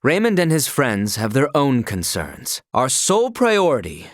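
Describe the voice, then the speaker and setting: A loyal young knight from a fantasy video game. He speaks with formal, archaic dignity and earnest conviction, his pitch moving expressively. The sound is dry and close, free of room echo. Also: huge pitch swings, measured pace. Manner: formal, stately, earnest delivery.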